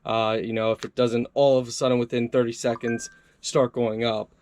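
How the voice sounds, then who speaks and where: A man's voice: mid-range voice, little pitch movement, normal speed, a little mellow, slight background noise. A man doing a casual, upbeat hands-on product review, chatting to his viewers as he tries things out.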